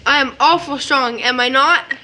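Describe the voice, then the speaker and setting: A woman's voice: high-pitched, normal speed, pushed and bright. A woman playing a sweet, earnest little boy in an audio drama, with a childlike, eager way of talking.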